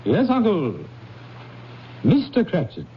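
An actor playing a warm-hearted young gentleman nephew in a radio drama, earnest, hearty and declamatory. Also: high voice, huge pitch swings, slow, exaggerated voice. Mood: cheerful, hopeful. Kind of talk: 1970s radio drama acting. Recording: dull, noisy 1970s radio recording.

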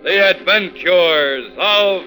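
Casting: male vintage radio narrator, emphatic and theatrical, slightly high voice, loud musical backing. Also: extremely slow, over loud music, poor audio. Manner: dramatic, emphatic. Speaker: announcer and narrator. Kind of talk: 1940s radio scripted narration.

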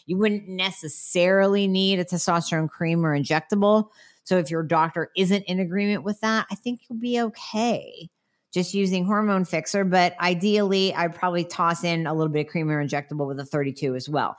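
Frank, chatty health practitioner, a middle-aged woman with a lowish voice, nasal from a cold, answering viewer questions. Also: fairly even intonation, fairly quick. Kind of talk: casual Q&A talk.

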